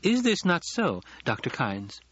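A man voicing a shrewd, sweetly courteous noblewoman in an audiobook, with measured and formal speech. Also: a little high, wildly swooping pitch, fairly bright tone, breathy edge, dull audio, voice pushed up, exaggerated voice. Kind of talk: audiobook character acting.

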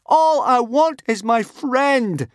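A man's voice: extremely high pitch, lively intonation, slow, clean tone, no echo, pitched above the performer's own voice, heavily acted. A man voicing a small young Viking boy, howling and tearful.

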